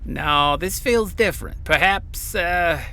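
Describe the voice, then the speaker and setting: A man playing an anguished, accusing city mayor in an audio drama, with a dramatic, halting delivery. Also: sits high, sharp tone, heavily acted.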